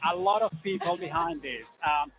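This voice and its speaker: A man's voice: a little high, brisk, music in the background, low-quality recording. A man from El Salvador, raised in Australia, answering interview questions hesitantly with many fillers. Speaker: production designer guest. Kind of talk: broadcast interview.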